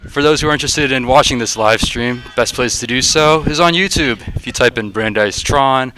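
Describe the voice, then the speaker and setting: A young man with a deep, slightly groggy voice, commentating a frisbee game on a livestream and getting excited on big plays. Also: brisk. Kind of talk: play-by-play sports commentary. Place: outdoors at a frisbee field. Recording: noisy outdoor field recording.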